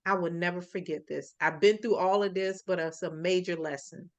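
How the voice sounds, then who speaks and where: A woman's voice: lowish voice, steady tone, moderate pace. A middle-aged woman hosting a casual interview, talking expressively and laughing easily. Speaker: host.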